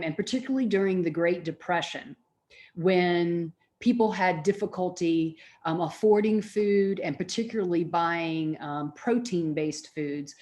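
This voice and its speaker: A middle-aged woman speaks as a college lecturer. Her voice sits a little low for a woman. She explains in a conversational, informal way, as if talking directly with her class.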